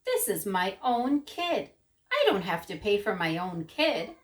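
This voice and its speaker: A woman's voice: mid-range voice, big pitch swings, unhurried. A woman voicing an exasperated, strict but loving father in a children's story, with emphatic, scolding delivery. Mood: stubborn.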